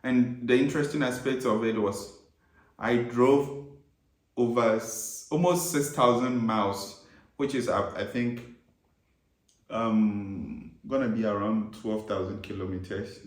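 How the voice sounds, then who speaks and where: A man's voice: fairly low pitch, roomy sound. A man born and raised in Ghana, chatting casually with his wife as co-host of their travel vlog, rambling with restarts and laughing. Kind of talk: casual couple chat.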